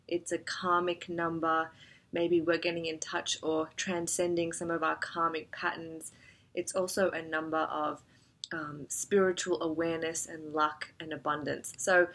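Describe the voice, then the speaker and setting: A woman with a somewhat low voice talks through a spiritual forecast in a soft, meandering way. She sounds a bit nasal and stuffy from a cold and often pauses on small fillers. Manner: gentle, rambling, with frequent fillers. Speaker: astrology forecast host. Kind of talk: casual spiritual forecast talk.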